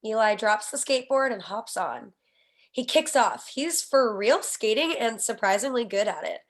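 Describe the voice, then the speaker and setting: A woman's voice: on the high side, animated, normal speed. A woman reading the scene headings and action lines of a screenplay as the narrator at a table read. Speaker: stage directions narrator.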